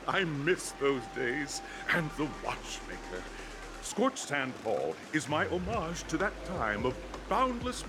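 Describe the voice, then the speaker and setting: Over the top showman host from a game, high voice, all over the place, music behind. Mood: nostalgic. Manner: grandiose, effusive showman. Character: flamboyant male festival host and drink tycoon. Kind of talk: theatrical game-show announcing.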